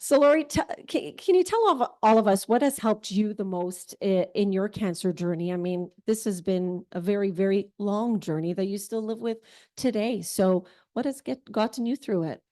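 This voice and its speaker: A woman's voice: medium pitch, normal speed, darkish tone, dry recording. A woman moderating a live panel on stage, speaking into a handheld mic. Her talk is chatty and encouraging as she invites guests to share their stories. Her voice sounds dry and close, with little room sound. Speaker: event host. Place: event hall with audience. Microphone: handheld microphone.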